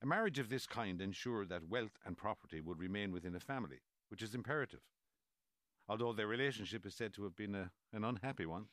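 An actor playing a learned, courteous gentleman in a radio drama, telling a story in formal, measured phrases. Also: fairly low pitch, no echo, clean studio sound, close to an everyday voice. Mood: measured, knowing.

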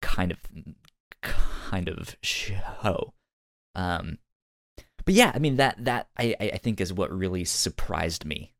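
A man's voice: deep voice, very animated, takes it slowly, vocal fry, a bit bright, dry recording, clean studio sound. A man co-hosting a casual review podcast, who often stammers and repeats words as he starts a thought. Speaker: podcast co-host.

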